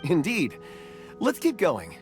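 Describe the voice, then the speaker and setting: A woman's voice: deep voice, wildly swooping pitch, slow, over music, heavily acted. A woman voicing a reserved, polite and pensive young man in an anime-style video game, speaking reflectively and formally.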